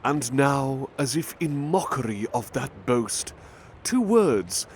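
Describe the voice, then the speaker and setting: Male butler-narrator for ASMR reading, soft and unhurried, steady traffic noise behind. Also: medium pitch. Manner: soft, unhurried, calls the listener beloved.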